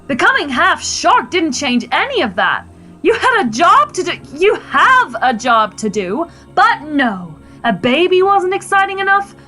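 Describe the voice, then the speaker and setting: High, sharp, exasperated wife. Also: female voice, heavily acted. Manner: pointed, reproachful. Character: blunt, exasperated wife and mother of a superhero. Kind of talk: radio play acting.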